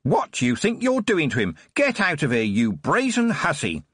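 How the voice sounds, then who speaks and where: A man's voice: a little high, huge pitch swings, moderate pace, a bit bright, slightly rough, dry recording, clean studio sound, pitched above the performer's own voice, full character voice. A man voicing an outraged female nurse who screams indignant insults. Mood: furious. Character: shrill, outraged middle-aged female nurse.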